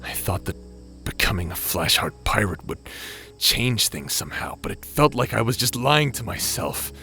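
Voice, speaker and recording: Man acting a deep-voiced, weary, guilt-ridden space pirate. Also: very animated, normal speed, vocal fry, pushed and bright, faint music behind, full character voice. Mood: anguished. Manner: hesitant, wry, subdued. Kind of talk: audio drama acting.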